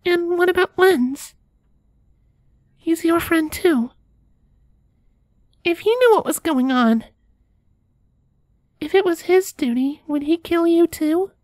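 A woman's voice: very high-pitched, lively intonation, slow, pauses often, a little mellow, no echo, heavily acted. A woman voicing a curious, innocent young filly pony with a childlike, blunt way of asking, in a fan fiction reading.

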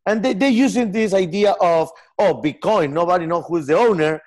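Earnest Peruvian man with halting English. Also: sits high, a little mellow. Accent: Peruvian Spanish. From Peru. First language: Spanish. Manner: earnest, gestures a lot, halting non-native phrasing. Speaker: software company founder. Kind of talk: casual interview over video call. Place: home room with lamp and armchair.

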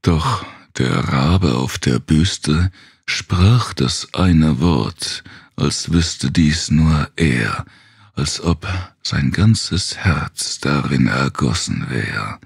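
A man with a gravelly, husky voice giving a theatrical, brooding recitation of dark Gothic poetry as narrator. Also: rumbling low, animated, extremely slow, no echo, studio quality. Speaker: narrator reading a horror poem aloud.

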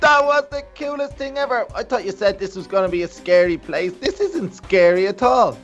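A performer playing a cocky, excitable young sibling in a children's game roleplay, in a dramatic, repetitive way. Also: medium pitch, normal speed, natural voice. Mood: excited. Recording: dull lo-fi voice, music behind.

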